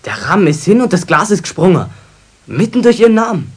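Eager husky Bavarian apprentice lad. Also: male voice, high voice, very animated, quick pace, heavily acted. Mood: surprised. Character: polite, good-natured teenage apprentice boy. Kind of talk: 1970s children's radio play acting.

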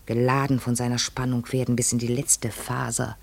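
Radio play: obsessive, vain madame, raspy creaking voice, theatrical intensity, addressing her servant. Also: very deep voice, flat delivery, moderate pace, a little mellow, heavily acted. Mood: urgent. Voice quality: husky, breathy, creaky. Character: vain, obsessive lady fearful of ageing. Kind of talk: literary German radio play monologue.

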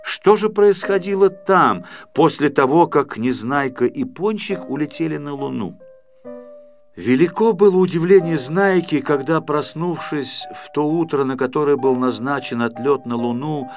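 A man reading a children's story as a scripted audiobook narrator, in an expressive, measured storytelling manner. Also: medium pitch, faint music behind.

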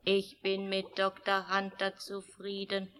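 Robotic, monotone artificial girl. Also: mid-range voice, takes it slowly, smooth. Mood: mechanical, blank. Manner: robotic, reciting short statements in a flat way. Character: newly created artificial young woman learning speech, naive, machine-like. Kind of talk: science-fiction radio play acting.

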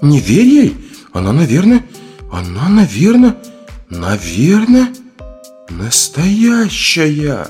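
Man voicing a very high, whimpering, frightened woman. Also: wildly swooping pitch, slow pace, darkish tone, soft background music, heavily acted. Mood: fearful. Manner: agitated, whimpering pleas. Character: frightened, pleading young woman companion, anxious.

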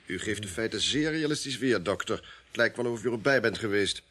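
A man acting in a radio drama as a hesitant, evasive police inspector who speaks politely and haltingly. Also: on the low side, lively intonation, fairly bright tone, slightly rough. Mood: uneasy, questioning.

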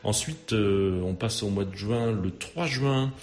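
A male rock musician guesting on a radio show, speaking hesitantly, repeating words and filling pauses with "euh". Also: on the low side, darkish tone. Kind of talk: casual radio interview.

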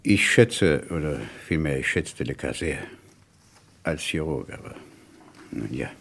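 An authoritative medical professor speaks gravely in an old radio crime play. His voice is extremely low and gravelly, with wide swings in intonation. His phrasing is halting. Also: expressive, fairly bright tone, heavily acted. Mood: measured, reserved. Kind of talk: vintage German crime radio drama acting.

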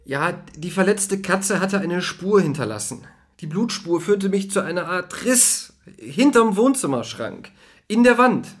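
An actor playing an anxious, confused young man who talks haltingly in a naturalistic German audio drama. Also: high voice, expressive. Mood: agitated, confused. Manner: halting, hesitant.

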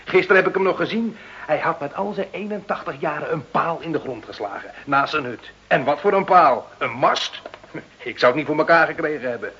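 A man's voice: a little high, animated, brisk, sharp tone. An actor playing a boastful, cheeky Italian village father in a Dutch radio drama, with a mocking, wheedling, theatrical manner. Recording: dull, hissy old radio recording.

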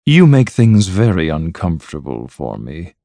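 Storybook talking cat, played by a male reader: male, aloof, insolent, calm and icy, low register, dry close sound. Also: moderate pace, no echo, heavily acted. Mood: cold. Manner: calm, cold, disdainful. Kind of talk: storybook character voice.

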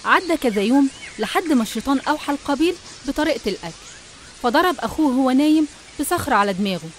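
An Egyptian woman tells a scripted religious story. She moves along quickly in a fairly high voice, with slight noise in the recording. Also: animated. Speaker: narrator.

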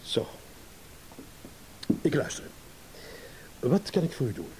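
A man's voice: medium pitch, big pitch swings, slow pace, mellow, rough recording. An actor in a radio play playing a self-assured businessman, courteous and indulgent in a patronising way, yet guarded. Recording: hissy old radio play recording.

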